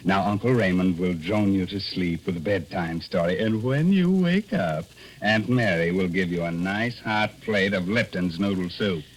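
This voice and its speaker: An actor playing a gleefully macabre horror host and narrator in theatrical, teasing 1940s radio style. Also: male voice, sits low, slightly rough, low-quality recording. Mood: ghoulishly playful. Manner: theatrical, teasing, drawn-out delivery. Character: gleefully macabre, mock-friendly male horror show host. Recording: 1940s radio broadcast with organ music.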